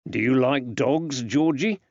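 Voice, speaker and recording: A man voicing a kindly character who gently coaxes answers from a child, with old-fashioned working-class phrasing. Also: medium pitch, slow pace, no room sound, dull audio. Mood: reassuring.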